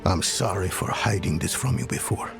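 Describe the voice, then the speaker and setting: An actor playing a weary, solemn older guardian and father in a fantasy game, speaking gravely with a gravelly voice. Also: low voice. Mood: grave confession. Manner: grave, measured. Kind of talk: dark fantasy game dialogue acting. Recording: music behind the voice. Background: music.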